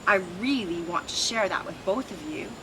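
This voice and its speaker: A woman voicing an excitable, insistent little male pigeon with a squawking delivery in a storybook reading. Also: mid-range voice, normal speed, close to an everyday voice. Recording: noisy outdoor recording with traffic.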